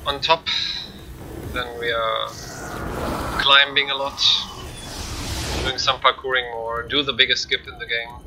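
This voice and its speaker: A man's voice: mid-range voice, little pitch movement, a little slow, long pauses, background noise. A man with a non-native English accent giving explanatory live commentary as he plays through a video game speedrun. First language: not English. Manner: explanatory, plain. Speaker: gamer, speedrunner.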